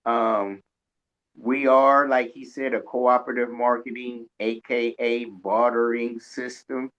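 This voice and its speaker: Slow-talking man, dull lo-fi sound. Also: mid-range voice, level tone. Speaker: radio show co-host. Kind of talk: casual conversational interview, anecdotes. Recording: dull, lo-fi audio.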